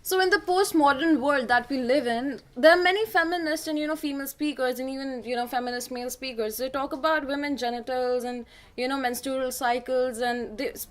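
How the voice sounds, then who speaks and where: A young Indian woman with a high, bright-pitched voice hosts a student talk show. She reads her introductions and asks pointed questions, often filling with "you know". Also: brisk, few pauses. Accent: Indian English. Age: young adult.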